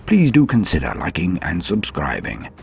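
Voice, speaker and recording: A man's voice: sits low, huge pitch swings, normal speed, vocal fry, soft background music. A man hosting a lore show, delivering scripted narration in a theatrical, formal and florid manner. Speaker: host of a Warhammer lore show.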